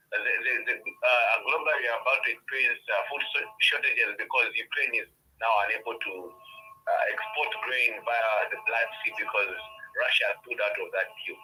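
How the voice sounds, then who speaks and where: A man's voice: medium pitch, bright, forceful tone, slight background noise. A man from western Zambia with a Zambian English accent, calling in to argue his point earnestly, with many restarts. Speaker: caller. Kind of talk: phone-in comment. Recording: tinny phone line.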